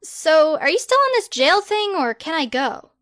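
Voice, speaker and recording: A woman's voice: very high voice, very animated, normal speed, fairly bright tone, no echo, voice pushed up, full character voice. A woman voicing a sarcastic, exasperated schoolgirl who gives dry, incredulous retorts.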